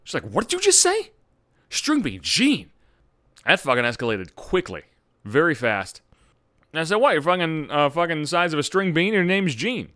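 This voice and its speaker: A man hosting his own podcast, ranting loudly with heavy swearing after just getting over a cold. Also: on the high side, huge pitch swings, normal speed, dry recording, clean studio sound. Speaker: podcast host. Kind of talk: profane comedic rant.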